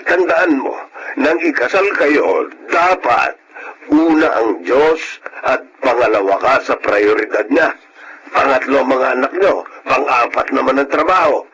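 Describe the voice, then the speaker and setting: An actor playing a stern, protective older Filipino father giving deliberate, admonishing advice in a radio drama. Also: medium pitch, animated, fairly bright tone, slight background noise, low-quality recording.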